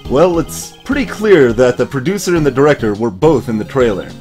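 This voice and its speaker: A man's voice: medium pitch, very animated, fairly quick, a little mellow, soft background music, lightly acted. A man voicing an earnest, determined young male defense attorney from a video game. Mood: explaining. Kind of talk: video game dialogue reading.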